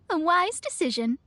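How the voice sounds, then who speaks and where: A woman's voice: very high voice, pushed and bright, dry recording, exaggerated voice. A woman playing a proud young astrologist in a video game, speaking in a formal, grandiose and theatrical way. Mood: pleased, composed. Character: proud, self-assured young female astrologist. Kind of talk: English video game voice acting.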